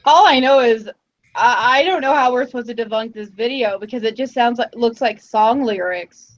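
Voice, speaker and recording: A woman's voice: on the high side, normal speed, dull audio, soft background music. A woman co-hosting a casual livestream, chatty and amused as she reacts to the videos. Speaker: podcast co-host.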